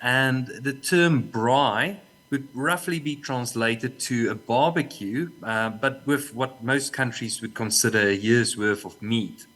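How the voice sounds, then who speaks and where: A man's voice: mid-range voice, slow pace, fairly bright tone. A man from South Africa, a native Afrikaans speaker with a South African accent, giving a remote conference talk, often restarting and repeating words, with dry humor. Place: remote talk, not in the hall.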